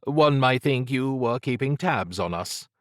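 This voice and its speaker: A man plays a tough woman investigator in an audiobook, pitched higher than a low male voice. She speaks tersely and warily, with a challenging edge. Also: lively intonation, unhurried, fairly bright tone, dry recording, studio quality. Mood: suspicious. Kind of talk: audiobook character acting.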